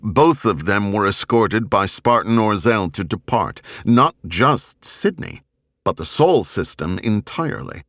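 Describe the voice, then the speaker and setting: Deep-voiced man narrating a novel, swooping intonation. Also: expressive, a bit bright, dry recording, studio quality. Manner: measured, grave, deliberate phrasing. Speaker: audiobook narrator. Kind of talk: scripted dramatic novel narration. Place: dry recording studio.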